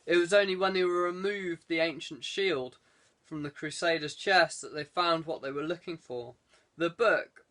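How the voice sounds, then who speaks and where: A man's voice: on the high side, a bit bright. A man reading an adventure novel aloud as scripted audiobook narration. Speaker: audiobook reader.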